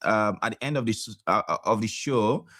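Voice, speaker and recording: A man hosting a discussion, speaking deliberately with many uh fillers. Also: on the low side, fairly bright tone, no room sound, studio quality. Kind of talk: discussion summary.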